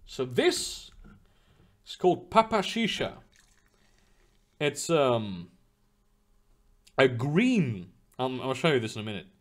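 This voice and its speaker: A man's voice: mid-range voice, very animated, slow, long pauses. A young man streaming live, chatting loosely with his viewers in a rambling way, full of fillers and casual swearing. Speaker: streamer reading chat.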